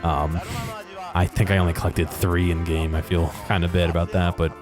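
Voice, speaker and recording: A man's voice: low-pitched, flat delivery, normal speed, music in the background. A man giving casual, unscripted commentary over game footage, with a hesitant, rambling and understated way of talking. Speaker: video creator commentating on game exploration.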